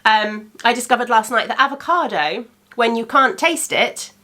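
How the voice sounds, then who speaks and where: Bright, chatty woman podcast host. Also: fairly high pitch, animated, normal speed. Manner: chatty and rambling, many ums. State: congested from a cold. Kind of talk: casual chat.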